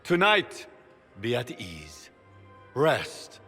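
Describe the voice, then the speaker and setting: An actor playing a proud soldier emperor, giving a grand, measured speech in a video game cutscene. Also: male voice, medium pitch, huge pitch swings, bright, forceful tone, slightly rough, full character voice. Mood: solemn. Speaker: newly crowned emperor. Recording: long hall reverb with music.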